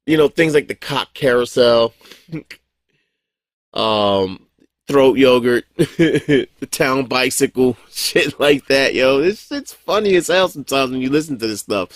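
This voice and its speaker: A man in his forties talks off the cuff in a casual vlog monologue, blunt and heavy on profanity. He stumbles into restarts and breaks into short chuckles as he goes. His voice is mid-pitched and sounds dry and close, with no room echo. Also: takes it slowly. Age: 40s. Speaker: vlogger.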